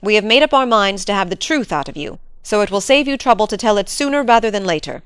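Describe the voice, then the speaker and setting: A woman's voice: medium pitch, animated, fast talker, clean tone, no echo, natural voice. Woman playing a stern baronet, commanding, demanding, dry close sound.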